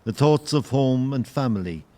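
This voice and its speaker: A man playing the solemn narrator of a historical audio play, with measured, literary, grave delivery. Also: medium pitch, expressive, warm tone, slight background noise, natural voice. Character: solemn storyteller narrator of old sea tale. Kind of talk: scripted dramatic narration.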